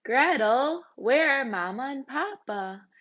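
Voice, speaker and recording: A woman's voice: high voice, lively intonation, slow, muffled recording, voice pushed up. A woman voicing a worried, whiny, innocent little boy in a storybook. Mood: eager, innocent.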